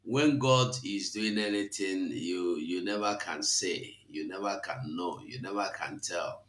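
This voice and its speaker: A man's voice: sits low, a little slow, a bit bright, reverberant. A man, hosting a live broadcast, exhorting and quoting scripture in a repetitive, preachy cadence. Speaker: online broadcast host.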